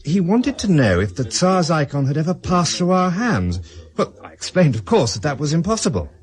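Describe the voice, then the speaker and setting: An actor playing an affable, fussy London auction-house specialist in a radio drama, with a British accent. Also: male voice, on the high side, sing-song, normal speed. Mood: affable, intrigued. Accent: British English. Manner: fussy, courteous, chatty.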